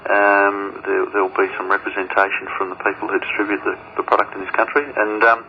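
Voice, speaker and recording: A man's voice: on the low side, level tone, fast. A man chatting casually as a guest in a radio interview, informal and wry. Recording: dull lo-fi radio audio with noise.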